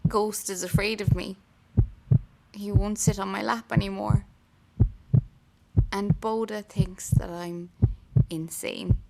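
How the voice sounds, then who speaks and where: Haunted, blood-hungry young Irish woman, horror audio drama, very drawn-out delivery, some background noise. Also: medium pitch, steady tone. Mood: brooding, bitter. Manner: slow, halting, breathy whispers breaking into intensity. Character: unhinged, frightened, blood-hungry young woman confiding in her diary.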